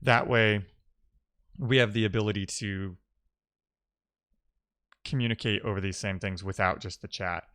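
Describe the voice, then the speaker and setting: Man, slightly deep voice, smooth and clear, close dry sound, informal programmer streamer, thinking aloud. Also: steady tone, unhurried, long pauses, a bit bright, no room sound. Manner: informal, reading and answering chat messages aloud. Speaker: live-streaming programmer, host. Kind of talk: casual live-coding stream chat, thinking aloud.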